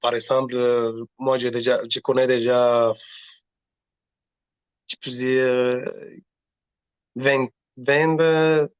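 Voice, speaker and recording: Singer guest, male, halting and earnest, middle pitch, muffled lo-fi recording. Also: flat delivery, smooth, no room sound. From Latin America. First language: Spanish. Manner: earnest, halting, frequent restarts. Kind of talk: casual interview talk. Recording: dull lo-fi audio.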